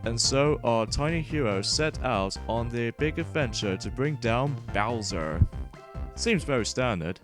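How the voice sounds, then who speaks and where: A man hosts a video game let's play in a mid-pitched voice. He is wordy and rambling, often stumbling over his words, with game music playing behind him. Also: expressive, measured pace. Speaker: host of a gaming let's play. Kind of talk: gameplay commentary and read-aloud narration.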